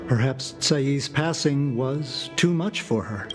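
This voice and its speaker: A man voicing a polite, dutiful aide in a video game, courteous and formal, with gentle concern. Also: medium pitch, takes it slowly, lightly acted. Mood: thoughtful. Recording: clean game dialogue over background music.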